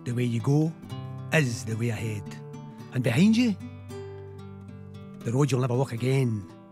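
A man's voice: medium pitch, lively intonation, measured pace, dark tone. A man reciting poetry. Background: guitar music.

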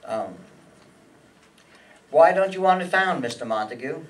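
A man's voice: on the high side, animated, a little slow. An older man playing a hard-boiled, sardonic private detective and narrator in a radio play, terse and matter-of-fact. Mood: pressing. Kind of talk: old-time radio acting and noir narration. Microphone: stand microphone on stage, heard from audience. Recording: live stage pickup from far off, music.

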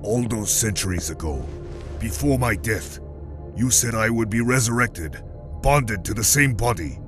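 Man as a slow, grim warlord villain. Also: on the low side, animated, music in the background. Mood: brooding. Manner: stern, curt, brooding. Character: grim, imperious warlord villain back from death. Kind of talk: audio comic drama acting.

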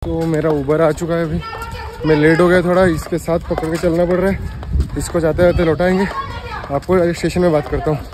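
A man's voice: on the high side, level tone, fairly quick. A young man talking casually to a phone held at arm's length, mixing Hindi with English, in a vlog. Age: young adult. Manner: chatty, mixing Hindi and English, bits of Odia. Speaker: vlogger. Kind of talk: casual travel vlog to camera. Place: indoors and outdoors, rooms, street, station. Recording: music playing behind the voice.

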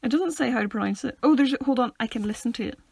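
A woman's voice: fairly high pitch, fast, gravelly, darkish tone, husky, no echo. A young woman co-hosting a casual podcast, giggly and playful, often dropping into whispers. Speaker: podcast co-host. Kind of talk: casual chat.

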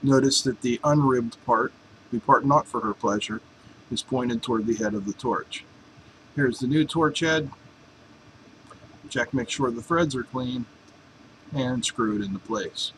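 A man's voice: mid-range voice, measured pace, long pauses, background noise. A man in a home workshop explaining a welding torch swap in a casual, joking, folksy way. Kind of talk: casual workshop how-to narration.

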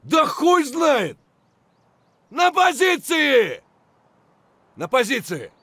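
An actor playing an aggressive, foul-mouthed Russian soldier barking urgent, coarse shouts in a military shooter game. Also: male voice, very high voice, huge pitch swings, very slow, no room sound, exaggerated voice. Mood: urgent, shouting.

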